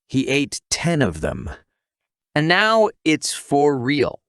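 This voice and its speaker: Man narrating with animated, swooping pitch. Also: takes it slowly, smooth, dry recording, studio quality. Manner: wisecracking, conversational asides to the listener. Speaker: narrator of an anime recap. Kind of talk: scripted comic story recap narration.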